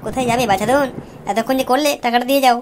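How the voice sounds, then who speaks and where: A woman's voice: fairly high pitch, fairly even intonation, rapid-fire, natural voice. A woman voicing a brash, scornful, mercenary married woman in a comic cartoon, sassy and scolding. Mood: scornful demanding. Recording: noisy with clicks.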